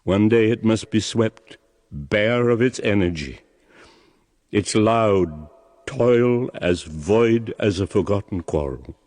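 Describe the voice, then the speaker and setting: An old royal father in a solemn radio drama, stern and worn out. The voice is deep and slow, declaiming gravely in a dry, close acoustic. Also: lively intonation, a little mellow, no echo. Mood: weary, intense.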